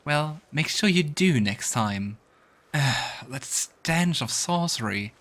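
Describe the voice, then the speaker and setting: Man voicing a frustrated, haughty young priestess, pitched up. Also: lively intonation, takes it slowly, a bit bright, heavily acted. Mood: scolding. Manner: indignant, formal.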